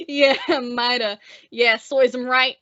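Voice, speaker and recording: A woman's voice: on the high side, steady tone, drawn-out words, a bit bright, dry recording. A woman playing a crude, gloating male henchman in an old-time radio drama, slangy and eager to please the boss. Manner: jeering, slangy. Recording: dull, close lo-fi audio.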